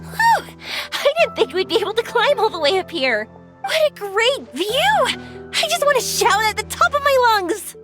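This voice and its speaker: A woman playing a cheerful, earnest young dreamer in an anime video game, with a sincere, expressive manner. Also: very high voice, moderate pace. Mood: excited. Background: music.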